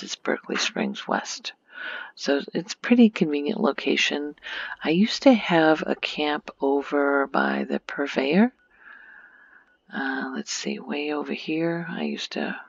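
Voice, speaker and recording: A woman giving a soft-spoken ASMR game tour in a gentle, informal, hushed voice that often slips into a whisper, on a muffled home recording. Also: very deep voice, gravelly, breathy edge, no room sound. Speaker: ASMR video creator and gamer.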